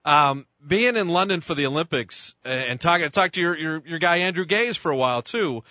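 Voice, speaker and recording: A man hosting sports radio speaks in a bright, fairly high voice that sounds a bit muffled and dry. His questions ramble along with plenty of restarts and fillers. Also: animated, a little fast, no echo. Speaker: radio sports show host. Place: radio studio.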